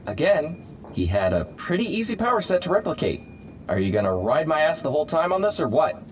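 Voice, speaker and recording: A man acts as a touchy superhero scientist in an audio drama. He is earnest and defensive, with a lively, swinging pitch over faint noise. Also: a little fast. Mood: defensive, annoyed. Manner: defensive, explanatory.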